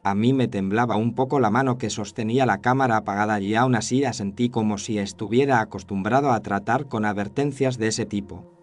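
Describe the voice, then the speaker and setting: A man's voice: fairly low pitch, darkish tone. A man reading a scripted first-person horror story as its narrator. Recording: clear noise and ambience behind voice.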